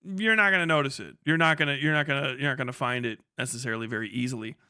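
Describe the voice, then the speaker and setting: Bright, clear-voiced man streaming casually. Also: medium pitch, very fast, no room sound, studio quality. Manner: profane, slangy, conversational. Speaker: livestream host. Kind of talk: casual livestream commentary.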